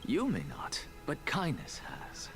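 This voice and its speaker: A man's voice: fairly high pitch, huge pitch swings, measured pace, vocal fry, full character voice. A man playing a self-assured, doubting scholar in a mythic video game, with a dry, sardonic and articulate delivery. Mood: cool, scheming. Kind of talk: scripted video game dialogue. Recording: game music behind the voice.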